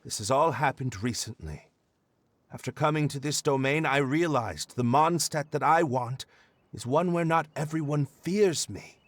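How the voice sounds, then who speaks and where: A man's voice: medium pitch, normal speed, dry recording, lightly acted. A man playing a penitent young noble in a video game cutscene, hesitant, formal and earnest. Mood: earnest, regretful. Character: penitent young male noble, disoriented and weary.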